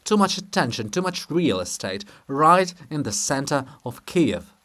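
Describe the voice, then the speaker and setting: Animated monument of a statesman for a drama-farce, read by a man: teasing, blunt, jaunty, grandly reassuring. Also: on the high side, a little slow. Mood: needling. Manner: teasing, theatrical, swings from blunt to grandly consoling. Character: brisk, needling, jaunty male monument of a statesman.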